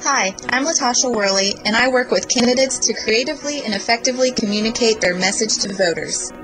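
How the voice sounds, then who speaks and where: A woman reading promotional ads in a clear, steady delivery. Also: a little high, a little fast, poor audio. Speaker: ad reader. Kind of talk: read promo. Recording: music bed behind.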